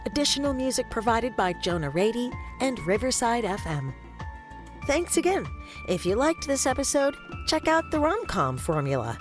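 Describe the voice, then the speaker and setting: A woman's voice: fairly high pitch, sing-song, moderate pace. A woman announcer reading a scripted podcast outro and credits. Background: loud music.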